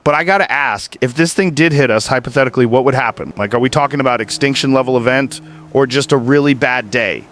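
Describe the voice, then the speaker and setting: A man's voice: medium pitch, fast talker, fairly bright tone, slight background noise, natural voice. A man playing a laid-back, curious podcast host in an audio drama, talking in a chatty, musing and informal way. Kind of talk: casual podcast interview in a scripted audio drama.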